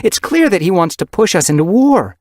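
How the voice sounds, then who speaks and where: A fierce, hot-headed she-cat who leads her clan, as a man puts her on for a storybook reading. The voice is pitched higher and pushed loud and commanding. There is a husky edge under it, and the tone swings widely. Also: very animated, fairly quick, no echo, clean studio sound. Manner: forceful, commanding.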